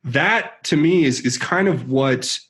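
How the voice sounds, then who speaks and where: A man's voice: a little high, slow pace, a bit bright, slightly rough. A man hosting an interview, asking long, hesitant questions. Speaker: host. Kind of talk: interview conversation.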